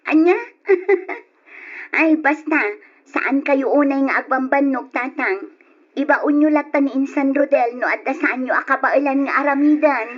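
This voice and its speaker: An actress with an Ilocano accent playing an affectionate young daughter in a radio drama. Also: female voice, sits high, measured pace. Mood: affectionate concern. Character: affectionate young woman, daughter coming home, later grieving. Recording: dull lo-fi radio recording, music behind.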